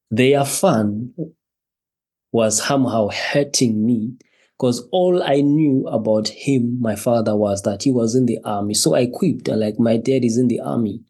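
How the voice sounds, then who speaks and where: A man from Zimbabwe, a podcast guest telling his personal story in a halting way, repeating words and laughing between phrases. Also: mid-range voice, warm tone, breathy edge.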